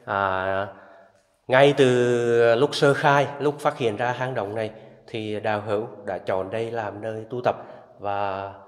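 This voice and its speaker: A man's voice: fairly low pitch, fairly even intonation, slow pace. Man touring a cave, echoing. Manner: addresses viewers often, enthusiastic. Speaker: travel vlogger guiding viewers. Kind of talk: casual guided tour narration. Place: inside a limestone cave. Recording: echoey cave with long reverb.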